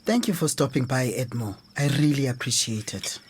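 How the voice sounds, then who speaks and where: A woman's voice: rumbling low, animated, normal speed, over loud music. A woman with a Zimbabwean accent playing a discouraged, uncertain transgender teacher in a radio drama.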